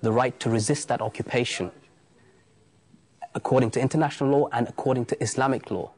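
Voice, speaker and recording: A man's voice: medium pitch, fairly even intonation, a little fast, darkish tone. A man in his thirties from England, answering interview questions earnestly and insistently, often restarting his phrases.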